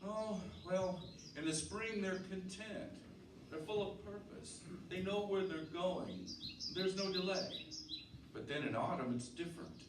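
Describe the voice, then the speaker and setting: A man playing a brooding, uneasy married farmhand in an earnest, measured old-time radio drama style. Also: sits high. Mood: uneasy, musing. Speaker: actor, narrator and lead. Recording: roomy, distant mic, clear background noise.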